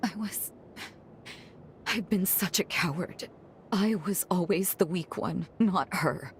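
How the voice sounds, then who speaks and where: An actress playing an anguished, regretful twin sister in a dramatic video game, with halting, emotional delivery. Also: female voice, on the low side, slight background noise. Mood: bitter regret. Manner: halting, emotional, often dropping to hushed tones. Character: guilt-ridden, anguished twin sister, proud but regretful.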